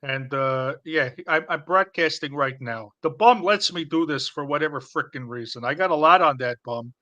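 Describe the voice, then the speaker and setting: A man's voice: on the high side, moderate pace, sharp tone, muffled recording. A man playing a boastful, shady businessman with a Chicago accent, rambling with lots of fillers on a comedy stream. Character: boastful shady middle-aged male businessman. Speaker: stream co-host.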